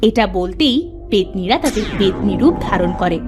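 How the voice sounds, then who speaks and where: A woman's voice: mid-range voice, expressive, moderate pace. A woman storyteller reading scripted narration for a cartoon fairy tale. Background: background music.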